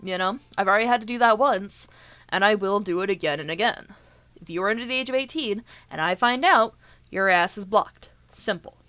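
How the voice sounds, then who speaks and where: A woman's voice: mid-range voice, fairly quick, fairly bright tone, dry recording, lo-fi sound. A woman in her twenties ranting casually on a gaming stream, blunt, exasperated and sweary as she tells stories. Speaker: streamer, VTuber host.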